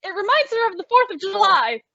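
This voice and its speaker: A woman's voice: very high-pitched, sing-song, fairly quick, vocal fry, bright, forceful tone, dry recording, heavily acted. A woman playing a sensible young woman in a radio comedy, a wry and diplomatic peacemaker. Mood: exasperated. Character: sensible young working girl, exasperated peacemaker. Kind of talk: old-time radio comedy acting. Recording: dull lo-fi recording.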